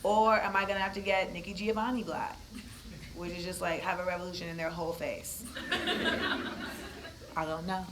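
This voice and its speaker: A woman in her thirties with a deep voice, giving a comedic storytelling talk at a university event with lots of asides. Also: normal speed, long pauses, a little hiss or hum. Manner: animated, comedic, conversational with asides. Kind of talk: storytelling talk to a live audience.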